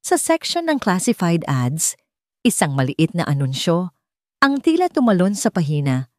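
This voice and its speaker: A woman's voice: on the low side, sing-song, moderate pace, darkish tone, dry recording, clean studio sound. A woman reading scripted narration for a dramatic audio story as its narrator.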